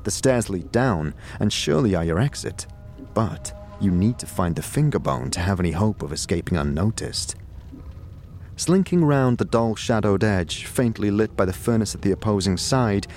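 A man narrating a scripted second-person audio drama in a deliberate storytelling manner. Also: fairly low pitch, a little mellow. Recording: music behind the voice. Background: background music.

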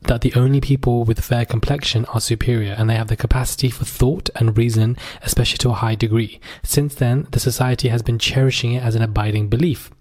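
A man's voice: mid-range voice, fairly even intonation, fast, few pauses, a little mellow. Emphatic man hosting a podcast. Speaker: podcast host. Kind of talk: conversational podcast monologue on social issues.

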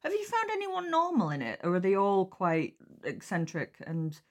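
Lively, expressive woman podcast host. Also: medium pitch, moderate pace. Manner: jokey, informal, reactive. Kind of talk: casual chat interview.

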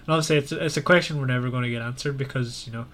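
A man's voice: mid-range voice, very fast, fairly bright tone. A man hosting a casual book discussion in a conversational, questioning way. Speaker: podcast host.

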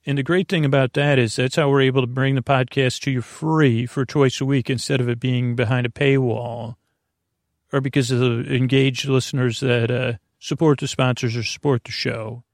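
A man with a gravelly, creaky voice talks in a loose, meandering way, tripping over words as he goes. He sounds easygoing and companionable, like a friend chatting on speakerphone. The recording is close and dry. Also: medium pitch, flat delivery, normal speed, dry recording. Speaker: sleep podcast host. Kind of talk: casual rambling monologue.

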